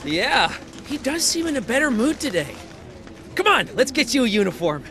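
An actor playing a goofy, childlike and eager young male police officer in an animated comedy game. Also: extremely high pitch, normal speed, full character voice. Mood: friendly, naive. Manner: dim, excitable, eager.

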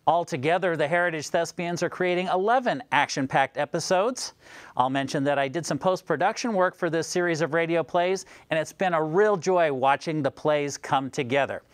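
A man's voice: a little high, normal speed, no echo. A middle-aged American man presenting a scripted news feature.

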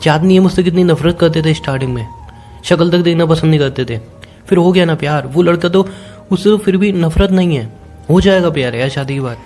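A man's voice: fairly high pitch, quick pace, a little mellow. Young guy on a recorded phone call, pleading and insistent, emotional private talk, music behind him. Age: young adult.

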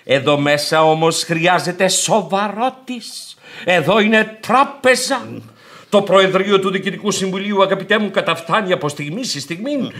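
An actor playing a pompous, nervy, easily flustered bank director in a theatrical radio drama. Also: male voice, high voice, a bit bright. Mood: indignant. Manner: pompous, flustered, stammering pet exclamations. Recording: old radio theatre recording.

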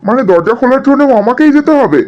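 High-pitched grumbling husband played by a man. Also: lively intonation, fast, muffled recording. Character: grumbling middle-aged Bengali husband of the household. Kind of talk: animated cartoon family drama dubbing.

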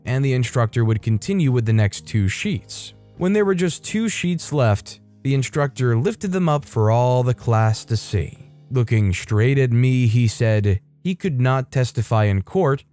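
A man reading out online stories as a narrator, in a steady, matter-of-fact way with light asides of his own. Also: medium pitch. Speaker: story narrator. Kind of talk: scripted narration of reader stories. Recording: faint background music.

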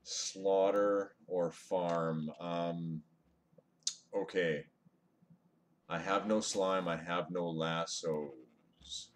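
A man's voice: low-pitched, steady tone, very slow, long pauses. A man streaming a game live from his computer, chatting with viewers and muttering over his play, recorded on a webcam mic set back from him. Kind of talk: casual live-stream chat while gaming. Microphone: webcam microphone, away from mouth. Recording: faint hiss from webcam mic.

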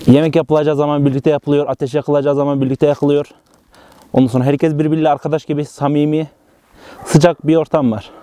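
A man's voice: a little high, flat delivery, fast, dark tone, dry recording. A man talking casually in an interview as a member of a camping group. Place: outdoors at a campsite.

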